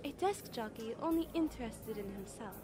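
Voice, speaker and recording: A woman acts as an earnest young military analyst in a radio drama. Her voice is moderately high and her manner is polite and explanatory. Clear music runs behind her. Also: lively intonation, moderate pace, natural voice. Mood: earnest, informative. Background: loud music.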